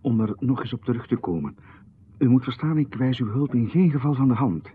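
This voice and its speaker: An actor playing a polite, nervously apologetic young artist in a radio drama, hesitant and stammering. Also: male voice, mid-range voice, expressive, a little fast, husky. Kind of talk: 1990s radio drama acting. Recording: lo-fi radio broadcast, phone-like band.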